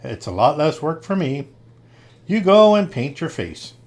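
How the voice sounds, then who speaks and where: A man's voice: on the high side, sing-song, measured pace, a little mellow. A man voicing an easygoing, kindly storybook father in a cheerful, encouraging manner. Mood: approving.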